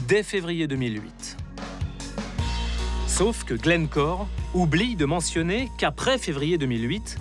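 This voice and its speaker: A man narrating an investigative documentary in a scripted, wry voice-over. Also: a little high. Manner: wry, ironic.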